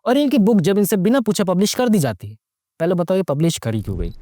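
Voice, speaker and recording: A young man delivers mocking, slangy movie commentary. His voice sits a little high and swings widely in pitch as he fires off rhetorical questions. The sound is dry, with very little room around it. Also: huge pitch swings, fast talker, mellow, no echo. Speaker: YouTube movie reviewer. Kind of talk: casual, sarcastic movie commentary.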